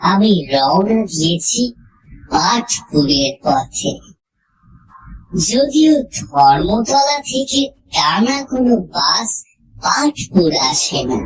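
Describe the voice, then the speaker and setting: Woman, lowish voice, very slow pace, scripted story narration, measured pauses. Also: poor audio. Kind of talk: scripted horror story narration, first person.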